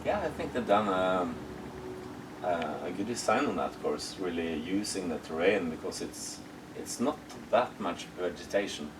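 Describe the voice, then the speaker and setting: A man hosting a relaxed outdoor interview at a disc golf course, hesitating often with ums and uhs. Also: deep voice, measured pace. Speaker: interviewer and host. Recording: distant outdoor mic with background noise.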